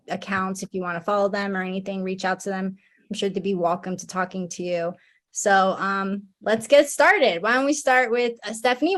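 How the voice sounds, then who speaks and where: A woman hosting a relaxed online panel over a video call. She talks in a loose, friendly way and laughs easily. Her pitch sits in the middle range. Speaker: event host, PR director. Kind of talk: casual moderated panel.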